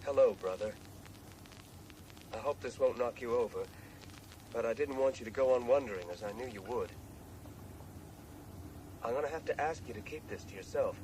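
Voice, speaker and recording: An actor playing a soul-searching man confiding to his brother in a halting, earnest radio drama monologue. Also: medium pitch, a little mellow, lightly acted. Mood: confiding, wistful. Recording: noisy 1980s radio drama tape.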